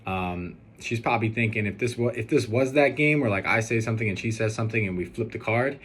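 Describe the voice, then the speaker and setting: Young guy w a lowish voice, chatting casually to camera, kinda rambly n earnest, bit of noise behind him. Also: fast talker. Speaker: groom-to-be answering questions on video. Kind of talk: casual rambling personal video message. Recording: steady background noise.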